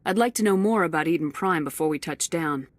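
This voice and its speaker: An actress playing a composed female military commander who asks brisk, direct questions in a video game cutscene. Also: low voice, no room sound, natural voice.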